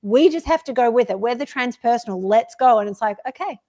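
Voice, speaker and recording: A woman's voice: on the high side, fairly quick, mellow. A woman talking casually in a conversation.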